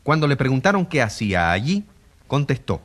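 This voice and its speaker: A man playing a grave radio reporter in a drama, narrating with a husky voice and declamatory, rhetorical delivery. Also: mid-range voice, very animated, a little fast, a bit bright. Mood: grave, dramatic. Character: grave male radio reporter covering a controversial trial.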